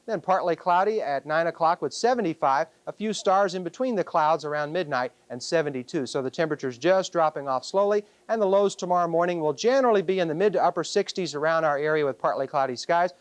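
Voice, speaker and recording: A man's voice: a little high, fast talker, hardly any pauses, no room sound. A man giving a chatty, conversational weather report on a local television newscast. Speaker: weather forecaster.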